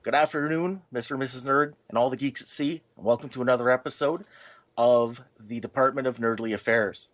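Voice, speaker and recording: A man with a medium-pitched voice hosts a talk podcast. He presents things with a playful formality, partly scripted and partly conversational. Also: fairly even intonation, fairly quick. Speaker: podcast host. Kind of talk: hosting, semi-scripted intro to a chat show.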